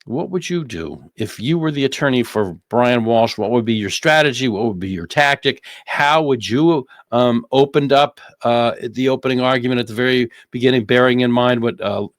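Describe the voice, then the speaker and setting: An American man hosting unscripted legal commentary, speaking haltingly and repeating phrases for emphasis. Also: mid-range voice, no echo. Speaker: host, lawyer commentating on a trial.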